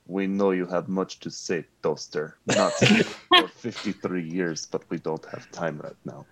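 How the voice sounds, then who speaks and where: A man's voice: low-pitched, a bit bright, rough recording. A man talking casually among friends as a member of a podcast crew. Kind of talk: casual group chat. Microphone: online voice chat.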